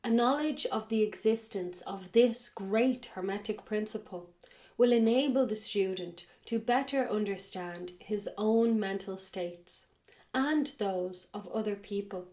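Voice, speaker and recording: A woman hosting a podcast, reading a spiritual text aloud in a slow, measured way and then commenting on it, her voice a little raspy from a cold. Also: medium pitch, darkish tone. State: has a cold. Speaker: podcast host.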